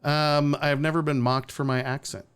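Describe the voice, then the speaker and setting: A man's voice: fairly high pitch, level tone, moderate pace, a bit bright, smooth. A man hosting a live-streamed talk show, talking casually and bluntly with sarcastic asides and swearing. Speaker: podcast host. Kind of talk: casual opinionated chat.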